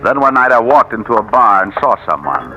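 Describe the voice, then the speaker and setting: A man's voice: medium pitch, big pitch swings, brisk, highs cut off. An actor playing a bitter, vengeful boxer who narrates his scheme in a slangy, brooding, vintage radio drama style. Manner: hard-boiled, slangy, brooding delivery. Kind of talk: 1940s radio drama acting, first-person narration. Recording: vintage radio recording, loud music behind.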